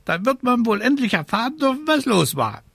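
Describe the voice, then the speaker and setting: A man voicing a fussy, scolding talking raven in a croaky, indignant storybook performance. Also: extremely high pitch, very animated, a little fast, fairly bright tone, dry recording, pitched above the performer's own voice, exaggerated voice. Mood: indignant, impatient. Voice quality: croaky, squawking. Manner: indignant, nagging.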